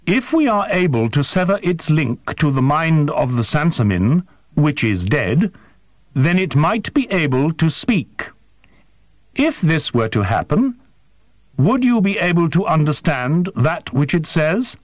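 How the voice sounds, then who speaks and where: A man's voice: fairly high pitch, dry recording, pitched above the performer's own voice. A man voicing a female alien interpreter who speaks in a stilted, overly formal way. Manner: stiff, overly formal phrasing.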